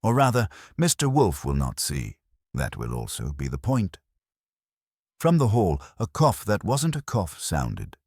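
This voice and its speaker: Super deep guy reading a detective story, raspy, dry close sound. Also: wildly swooping pitch, a little slow, a little mellow, no echo. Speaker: audiobook narrator. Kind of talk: scripted first-person detective story narration.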